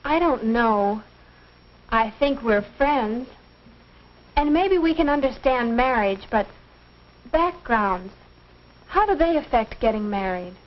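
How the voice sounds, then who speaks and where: A woman's voice: on the high side, animated, slow, pauses often. An actress playing a naive, lovestruck eighteen-year-old girl with earnest, girlish delivery in a 1950s instructional film. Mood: uncertain, earnest. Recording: dull 1950s film soundtrack.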